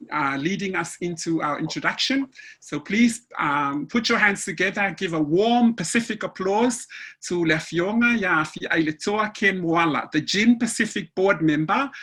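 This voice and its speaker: A woman's voice: on the low side, fairly bright tone, slightly rough. A woman from Samoa moderating an online seminar panel, emotional and speaking with many fillers, over a video call.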